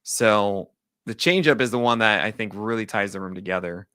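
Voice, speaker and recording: Male podcast host, bright and forceful tone, casual analytic banter, dry close mic. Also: fairly low pitch, no room sound. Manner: jokey, quick asides, trails off and restarts. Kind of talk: casual baseball analysis chat.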